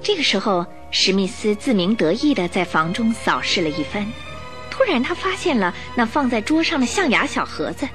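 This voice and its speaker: A woman's voice: a little high, big pitch swings, normal speed, music in the background. A woman narrating a radio drama as a scripted, dramatic story.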